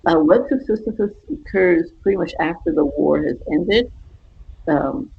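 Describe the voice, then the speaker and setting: Woman author being interviewed, raspy creaky voice, halting explainer, kinda muffled lo-fi audio. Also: lowish voice. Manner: halting, repeats and rephrases to explain. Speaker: interview guest, science fiction author. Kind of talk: casual, explanatory interview talk.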